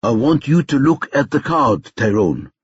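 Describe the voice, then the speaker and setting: Man voicing a menacing, deliberate male kidnapper. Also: medium pitch, very animated, husky, lo-fi sound, pitched above the performer's own voice, exaggerated voice. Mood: cold menace. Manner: deliberate, commanding, threatening. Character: menacing male kidnapper, cold, controlled, threatening. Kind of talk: audiobook character dialogue.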